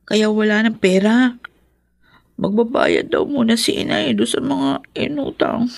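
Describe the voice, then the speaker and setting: An actress playing a meek, dutiful young daughter in a Filipino radio drama, hesitant, polite and subdued. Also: female voice, a little high, unhurried, gravelly, no echo, full character voice. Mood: subdued, sad.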